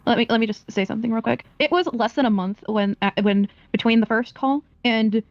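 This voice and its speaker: A woman's voice: on the high side, brisk, no echo. A woman questioning someone on a voice call, probing and pointed, often restarting her phrases as she presses a question. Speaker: questioner on a call-in stream panel. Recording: dull lo-fi voice call audio.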